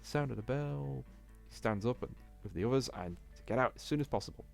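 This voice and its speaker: A man's voice: mid-range voice, lively intonation, fast, creaky, faint music behind. A man reading a visual novel aloud as a let's play host, stumbling over the text and breaking in with blunt, indignant asides.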